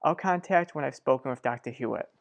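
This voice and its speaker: A man's voice: mid-range voice, fast, vocal fry, close to an everyday voice. A reserved doctor in a radio soap, acted by a man. He sounds formal and businesslike, holding back with a restrained, firm delivery. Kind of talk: old-time radio drama acting.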